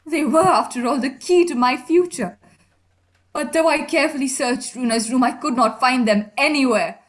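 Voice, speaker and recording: Casting: actress as young heiress at an inquest, high voice, earnest and grieving, formal period radio drama. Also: female voice, moderate pace, close to an everyday voice. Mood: earnest, composed.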